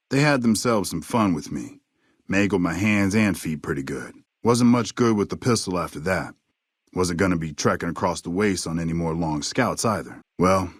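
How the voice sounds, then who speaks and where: A husky, drawling veteran sniper. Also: male voice, sits low, no echo, clean studio sound. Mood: wry, reflective. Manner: folksy, unhurried, understated. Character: weathered veteran army sniper, courteous, laconic old ex-scout. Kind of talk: video game character dialogue.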